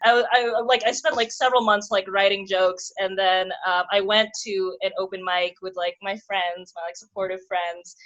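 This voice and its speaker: A woman's voice: medium pitch, fairly even intonation, moderate pace, hardly any pauses, a bit bright. Chatty young woman on a dull line. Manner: chatty, rambling, many "like"s and giggles. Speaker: comedian guest. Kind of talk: casual interview chat.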